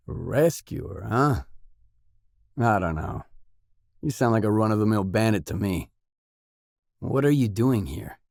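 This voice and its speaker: Weary wasteland stalker, gruff, low-pitched man, very slow delivery, terse, blunt, video game dialogue. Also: darkish tone, dry recording, studio quality. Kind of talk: scripted game dialogue lines.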